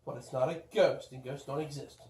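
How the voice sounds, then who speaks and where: A man voicing a deadpan, quibbling puppet critic trading comic banter. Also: mid-range voice, a little fast, echoey room, distant mic, heavy background noise, lightly acted. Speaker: puppet show reviewer.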